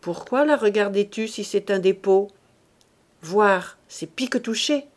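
A woman's voice: medium pitch, measured pace, lightly acted. Woman acting a blustering old miser father. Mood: cold, suspicious. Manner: blustering, coaxing, exclamatory.

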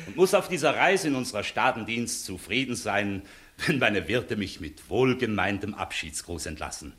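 A dignified, animated court inspector. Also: male voice, on the low side. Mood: courteous, official. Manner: formal, measured, official. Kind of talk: 1960s German radio play acting, classical stage.

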